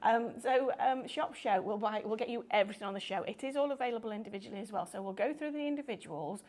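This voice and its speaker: A woman's voice: on the high side, fast talker, darkish tone. Upbeat woman presenting live shopping. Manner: chatty, upbeat, explains step by step. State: slightly croaky voice.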